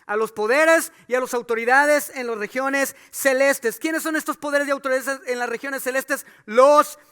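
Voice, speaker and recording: A man's voice: very high-pitched, moderate pace, sharp tone. A man preaching a sermon, asking the congregation questions and building to emphatic calls. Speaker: pastor.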